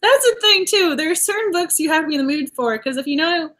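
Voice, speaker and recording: A woman from the Boston area hosting a casual book chat over a video call, talking quickly through thoughts with frequent laughs and restarts. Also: extremely high pitch, lively intonation, fairly bright tone. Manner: chatty, laughs often, restarts while avoiding spoilers. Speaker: host.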